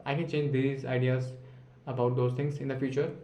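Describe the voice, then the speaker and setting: A young man of nineteen talks to his camera in a steady, flat tone with a mellow, darker timbre. He thinks aloud as he goes, restarts his sentences and encourages himself. His voice sounds echoey and roomy, with faint ambient noise behind it. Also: medium pitch, moderate pace. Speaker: vlogger practising spoken English. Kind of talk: unscripted self-talk monologue to camera.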